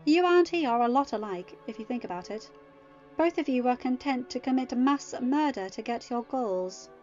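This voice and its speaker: A woman voicing a composed goddess queen who speaks in a dry, measured, quietly cutting way. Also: high-pitched, expressive. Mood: cool. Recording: muffled audio with soft music.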